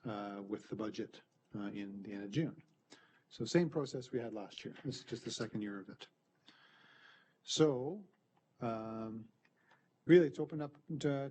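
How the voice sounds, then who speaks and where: Man walking a committee through a review, relaxed explaining, mid-pitched voice, faint hiss. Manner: explanatory, easygoing. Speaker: committee member presenting a plan review. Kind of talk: meeting presentation. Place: board meeting room.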